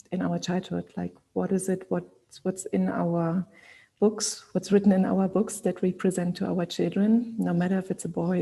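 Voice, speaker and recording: A woman talking on a video-call panel in a low, relaxed tone with a strongly gravelly, fry-laden texture. Her voice sounds husky rather than clean. She speaks reflectively, with frequent pauses and fillers as she searches for words. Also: rough recording. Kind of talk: panel discussion.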